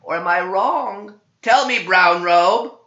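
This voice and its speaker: Storybook demon in a possessed man: raised, forceful, commanding, mocking, in an echoey room. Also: high voice, slow pace, voice pushed up, heavily acted. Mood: mocking, menacing. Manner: taunting, emphatic, commanding. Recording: dull, echoey room recording.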